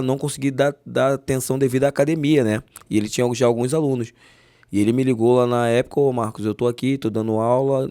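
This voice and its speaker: A man in his forties with a softened carioca accent tells his life story as a podcast guest, talking quickly. His voice is dark and mellow. The close mic picks up little room. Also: mid-range voice, no room sound. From Rio de Janeiro, Brazil. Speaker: guest, jiu-jitsu master. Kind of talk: interview storytelling.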